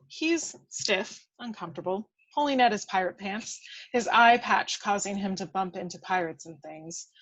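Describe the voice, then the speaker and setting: A woman's voice: mid-range voice, fairly bright tone, low-quality recording. A woman reading scripted action descriptions aloud at a table read, now and then stumbling and correcting herself.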